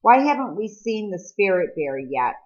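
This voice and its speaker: A woman's voice: medium pitch, very animated, normal speed, pitched above the performer's own voice, close to an everyday voice. Woman reading as a blunt, sullen teenage boy, slightly dull sound. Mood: distrustful, grudging. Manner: blunt, grudging. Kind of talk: audiobook character dialogue.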